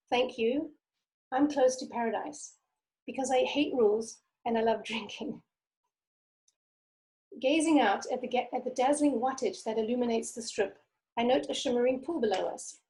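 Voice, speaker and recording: A soft-voiced woman author speaking candidly over a video call. Her voice sits fairly high but sounds mellow and dark in tone, with a gravelly fry running through it. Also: moderate pace. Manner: candid, conversational. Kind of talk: interview answers and memoir reading.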